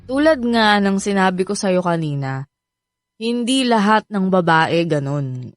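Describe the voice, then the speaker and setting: Radio drama: straight-talking young Filipina friend, exasperated, average pitch, close dry sound. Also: female voice, big pitch swings, unhurried, no room sound. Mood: explaining. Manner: blunt, lecturing. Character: frank, exasperated young female friend giving courting advice.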